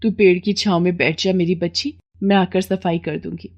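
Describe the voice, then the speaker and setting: A humble, sorrowful housemaid, acted by a woman in a storybook tale. She speaks meekly and gently, with deference. The sound is a bit muffled. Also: on the low side, steady tone, moderate pace. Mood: tender, sorrowful.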